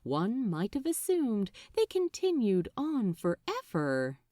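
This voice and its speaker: Woman reading a story out loud, super swoopy pitch, takes her time, real dry close sound. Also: huge pitch swings, slow, no echo. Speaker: fan fiction narrator. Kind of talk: expressive storybook narration.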